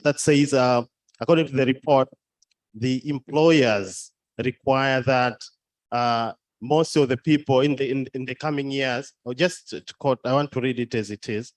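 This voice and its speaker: A man giving a prepared contribution from the floor of a conference, halting and repeating words. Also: medium pitch, rough recording. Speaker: conference participant.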